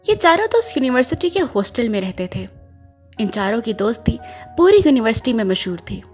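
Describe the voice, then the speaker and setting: A woman's voice: on the high side, very animated, brisk, soft background music. A woman reading scripted narration for a story in an audio drama.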